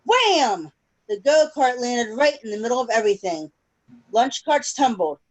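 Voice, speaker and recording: A woman reading a picture book aloud to children in an expressive storytelling way over a video call. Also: on the high side, unhurried. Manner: expressive storytelling with questions to listeners. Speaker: children's story-time reader. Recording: noisy video call recording.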